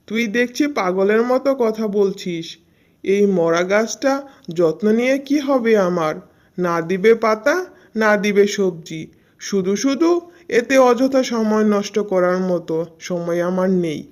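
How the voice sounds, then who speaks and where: A woman's voice: medium pitch, lively intonation, unhurried, darkish tone, lightly acted. A woman voicing a scornful, snobbish animal neighbour in a cartoon fairy tale, dismissive and sneering.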